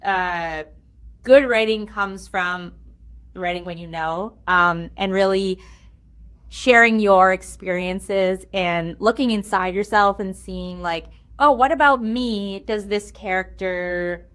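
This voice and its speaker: Female guest, clear-edged bright voice in a middle register, informal, conversational, works out thoughts aloud. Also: mid-range voice, takes it slowly. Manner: informal, thinks aloud with many "like"s. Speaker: game writer, interview guest. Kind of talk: casual chat.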